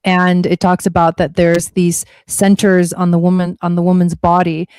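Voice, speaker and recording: Dry-sounding, lower-voiced woman explaining casually. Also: monotone, moderate pace, a little mellow, clear, resonant tone, no echo. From born in Argentina, grew up in California. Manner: chatty, frequent "like" and rephrasing. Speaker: guest, spiritual teacher. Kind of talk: casual explanatory interview talk.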